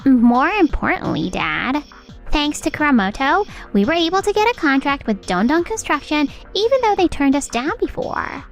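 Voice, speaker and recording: A woman's voice: high-pitched, big pitch swings, moderate pace, soft background music. A woman voicing a pushy, demanding young woman, a CEO's spoiled daughter, in a manga dub. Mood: coaxing. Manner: insistent, demanding.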